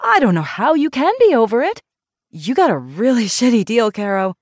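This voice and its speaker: A woman's voice: fairly high pitch, very animated, moderate pace, no room sound, clean studio sound, pitched above the performer's own voice. A woman voicing an outrageous, blunt, bubbly woman doctor in a novel, playful and emphatic. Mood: earnest. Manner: playful, emphatic, mock-dramatic.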